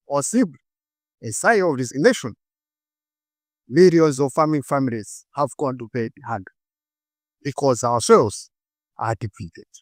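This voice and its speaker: A young Malawian man with a Malawian English accent gives a rehearsed inspirational talk on stage, cutting his lines into short phrases with dramatic pauses. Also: mid-range voice, no echo, studio quality. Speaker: speaker giving a stage talk.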